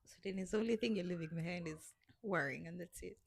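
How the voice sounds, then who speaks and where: A woman's voice: fairly low pitch, quick pace, vocal fry, slightly rough, no room sound, studio quality. A woman in her twenties co-hosting a casual podcast, giving earnest advice in a chatty way. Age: 20s. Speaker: podcast co-host. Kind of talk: casual chat.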